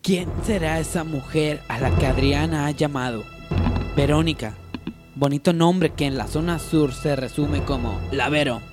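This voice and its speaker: A man's voice: a little high, normal speed, over music. A man narrating a parody radio soap opera in a mock-dramatic storytelling style.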